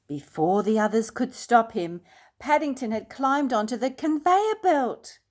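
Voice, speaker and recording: An older woman reads aloud to young children. Her delivery is slow and expressive with lively swings in pitch, and she pauses for simple asides and questions. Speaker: children's story reader. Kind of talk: storytime read-aloud with asides to young listeners.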